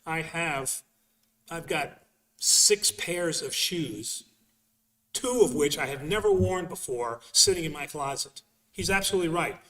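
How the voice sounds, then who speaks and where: A man speaking as a priest in a conversational religious question-and-answer session, emphatic and halting, often repeating phrases as he explains. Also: a little high, expressive, bright, forceful tone. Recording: echoey hall sound, distant mic.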